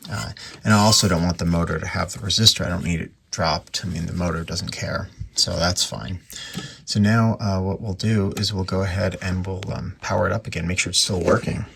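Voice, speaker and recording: A man's voice: deep voice, fairly even intonation, a little fast, vocal fry, fairly bright tone, slight background noise. An American man giving casual, unscripted repair commentary in a rambling, offhand way as a hobbyist at his workbench. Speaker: hobbyist repairer presenting radio restoration. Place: indoor workshop at a bench.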